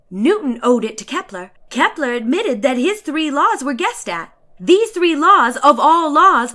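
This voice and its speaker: A woman's voice: high-pitched, fairly bright tone. A woman giving a dramatic story reading as a haughty, chatty traveler, with emphatic, ornate, declamatory delivery. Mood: lecturing.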